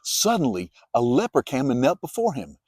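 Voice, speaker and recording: Guy narrating bible stories, really animated delivery, dry recording. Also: mid-range voice, moderate pace, slightly rough, dry recording. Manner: expressive storytelling. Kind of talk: dramatized scripted Bible narration.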